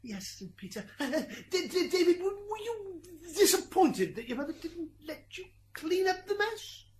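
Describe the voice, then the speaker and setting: A woman's voice: very high voice, sing-song, moderate pace, a little hiss or hum. A woman playing a flustered, wheedling defence lawyer in an amateur radio drama. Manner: hesitant, leading questions.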